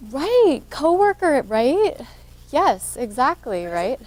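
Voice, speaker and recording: A woman with a soft voice, a social worker giving an interactive workshop talk and encouraging answers from the audience. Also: high voice, sing-song, slow.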